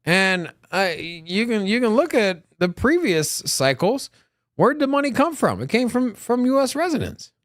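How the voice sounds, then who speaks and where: A man co-hosts a casual crypto live stream and speaks in a high voice that swings widely. He rambles, restarts his sentences and keeps pushing his point. The sound is dry and close. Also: moderate pace, no echo. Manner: animated, rambling, many restarts. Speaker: co-host of a crypto news show.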